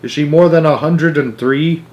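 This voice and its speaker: A man voicing an excitable, eccentric candy maker from a storybook, breezy and theatrical, with whimsical emphatic phrasing. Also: a little high, normal speed, muffled recording, pitched above the performer's own voice, close to an everyday voice. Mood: gleeful.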